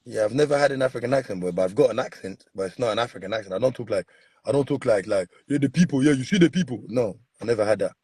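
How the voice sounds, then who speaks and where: A man with a husky voice hosting a casual podcast chat, pressing his guests with the same question again and again. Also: mid-range voice, very fast, dry recording. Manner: animated, repeats questions insistently. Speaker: podcast host.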